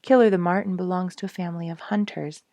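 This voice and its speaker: A woman's voice: lowish voice, fairly even intonation, quick pace, warm tone, dry recording. A woman reading a children's storybook aloud expressively, speaking directly to young listeners. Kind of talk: animated storybook read-aloud.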